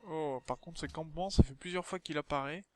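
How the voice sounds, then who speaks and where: A man's voice: a little high, fairly quick, mellow. A man with a southern French accent, hosting a casual multiplayer game commentary with frequent hesitations. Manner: hesitant, many filler sounds. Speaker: let's play host.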